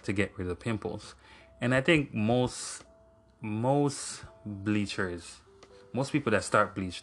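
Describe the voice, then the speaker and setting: Low-voiced young man from Jamaica. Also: fairly bright tone. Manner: rambling, frequent fillers and self-corrections. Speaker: podcast host. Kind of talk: casual solo podcast monologue.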